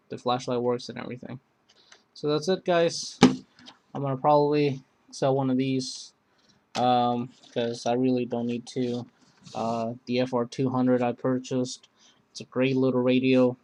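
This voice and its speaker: A man hosting a casual, unscripted product review, speaking in a halting, rambling way that often circles back on itself. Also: mid-range voice, darkish tone. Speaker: video host reviewing gear.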